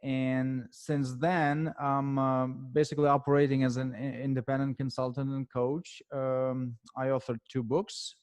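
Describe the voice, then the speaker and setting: Guest consultant, male, Ukrainian accent, non-native English, stop-start delivery, mid pitch. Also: flat delivery, darkish tone, smooth, dry recording. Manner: halting, many fillers and restarts. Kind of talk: podcast interview answers.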